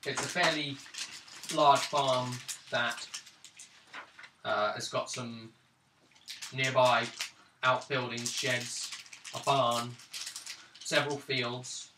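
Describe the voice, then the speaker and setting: A man acting as game master, describing scenes of a tabletop roleplaying game in halting, pausing phrases. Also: mid-range voice, steady tone. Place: indoors around a gaming table. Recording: roomy, distant mic, clearly noisy.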